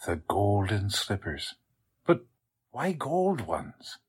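Guy doing a gruff cop from an old radio play, husky, voice swings up and down. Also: on the low side, wildly swooping pitch, takes it slowly, exaggerated voice. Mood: puzzled. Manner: folksy, colloquial, blustering. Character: gruff folksy male police sergeant, bossy yet easily baffled. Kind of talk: 1940s radio drama acting.

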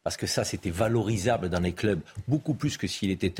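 A man's voice: fairly low pitch, animated, a little fast, a little mellow, breathy edge. A man arguing insistently as a panel commentator in a heated studio debate. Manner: insistent, argumentative.

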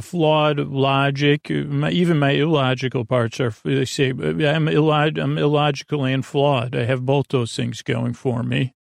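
Male host for a bedtime podcast. Creaky, fry-heavy voice, rambling and hesitant, lulling delivery, very dry recording. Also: mid-range voice, no echo. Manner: meandering, hesitant, trailing off into tangents. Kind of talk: rambling sleep podcast intro, soothing monologue.

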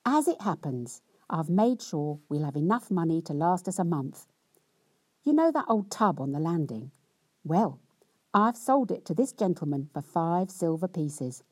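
A woman voicing a poor, weary, hard-working husband who grumbles in a gruff, exasperated way. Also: lowish voice, sing-song, a little slow, a little mellow, dry recording, heavily acted.

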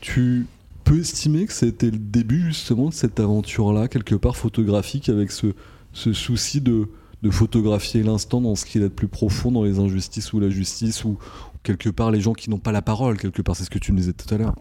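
A man's voice: fairly low pitch, steady tone, brisk, warm tone, slightly rough. A man hosting a podcast, summing up his guest's ideas and closing the episode. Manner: thoughtful, sums up guest's ideas. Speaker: podcast host. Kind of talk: interview and show outro.

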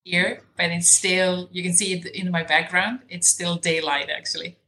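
A Serbian woman, a native Serbian speaker, chatting as a podcast guest over a video call, soft-spoken and thoughtful with small laughs. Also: fairly low pitch, steady tone, moderate pace, low-quality recording. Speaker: podcast guest, nutrition coach. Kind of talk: casual interview chat. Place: home in southwest England.

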